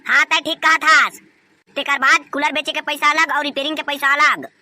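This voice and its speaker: A performer voicing a bossy, wily young cartoon shop partner, squeaky and scolding, with a Chhattisgarhi accent. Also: level tone, moderate pace, sharp tone, slight background noise. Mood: scheming. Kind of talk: comedy cartoon dub in Chhattisgarhi.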